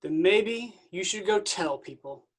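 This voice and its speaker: An actor playing Jesus as a casual, awkward, upbeat buddy in a comic staged play reading. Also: male voice, high-pitched, lively intonation, measured pace, breathy edge, full character voice. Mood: awkwardly caring. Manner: breezy, informal.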